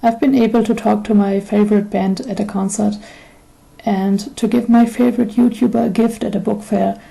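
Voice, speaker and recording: German girl in her 20s doing a personal vlog in english, very flat and even, lots of vocal fry. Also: mid-range voice, low-quality recording. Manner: earnest, reflective, measured. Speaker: vlogger.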